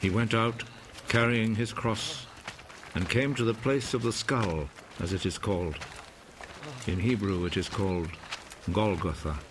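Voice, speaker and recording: A man narrating scripture for a film in a measured, solemn manner. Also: fairly low pitch, noisy background.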